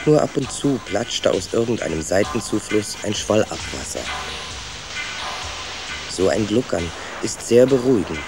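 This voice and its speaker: An actor playing a young sewer rat who calmly narrates his story in a children's radio play, measured and matter-of-fact. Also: lowish voice, music in the background. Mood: calm, storytelling.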